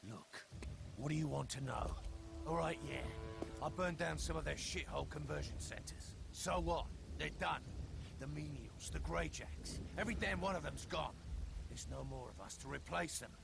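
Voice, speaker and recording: Gravelly, bitter British soldier. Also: male voice, fairly high pitch, moderate pace, noisy background. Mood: bitter, defiant. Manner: sardonic, gruff. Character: bitter, defiant British soldier facing execution, man. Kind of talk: video game cutscene acting. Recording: loud music behind.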